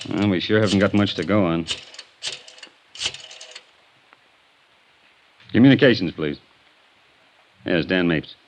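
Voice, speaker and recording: An actor playing a blunt, sarcastic, impatient but fair police detective with an American accent, in the style of 1950s radio drama. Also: male voice, low-pitched, moderate pace, a little hiss or hum. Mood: subdued, wry. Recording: dull vintage radio recording.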